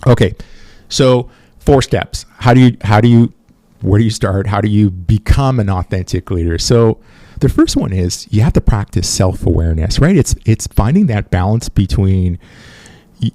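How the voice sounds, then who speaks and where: A man's voice: on the low side, dark tone, no echo. A man whose first language is French, hosting a solo podcast on leadership in a conversational way, with frequent restarts and "right?" tags. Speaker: podcast host.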